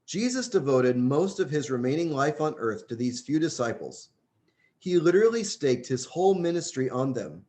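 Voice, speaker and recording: A man's voice: on the high side, sing-song, moderate pace, darkish tone. A man reading a religious book aloud in an earnest, emphatic way, stressing key phrases. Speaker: audiobook reader.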